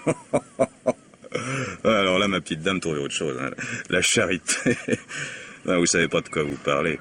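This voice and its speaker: An actor playing a cynical, mocking vagabond in a vintage radio drama, with a wry, laughing way of talking. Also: male voice, low voice, unhurried, sharp tone, slight background noise. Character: sardonic cynical male vagabond tramp.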